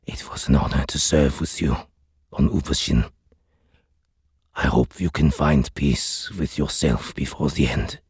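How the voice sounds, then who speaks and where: Man doing a very deep, raspy, solemn alien soldier. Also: a little slow, dry recording, clean studio sound, exaggerated voice. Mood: grave, resigned. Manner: soft, solemn, grave. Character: grave, weary alien soldiers, resigned old comrades. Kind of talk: audiobook character voice.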